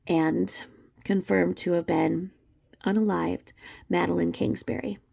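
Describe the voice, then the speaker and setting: A woman's voice: fairly low pitch, fairly even intonation, unhurried, gravelly, dark tone. A woman giving unscripted, scornful and emphatic opinion commentary as the host of her own channel.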